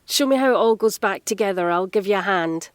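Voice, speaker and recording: A woman playing a practical, kindly council carer in an audio drama, speaking in a gentle, coaxing, matter-of-fact way. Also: mid-range voice, brisk, no echo, natural voice. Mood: kindly, brisk.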